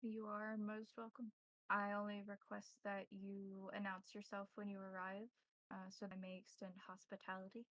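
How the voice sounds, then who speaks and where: A woman playing a courteous, cryptic vampire priest and advisor, speaking formally in measured, halting phrases in a tabletop roleplaying game. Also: medium pitch, monotone, fairly bright tone, dry recording, close to an everyday voice, low-quality recording.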